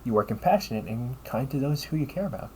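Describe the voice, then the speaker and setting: A man playing a polite, caring A.I. companion in an audio roleplay, speaking in measured, formal phrases and often checking with a questioning tag. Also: medium pitch, animated, darkish tone, slight background noise, natural voice, rough recording. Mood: earnest, reassuring. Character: polite, caring male A.I. companion, gently logical and encouraging. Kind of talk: audio roleplay addressed to the listener.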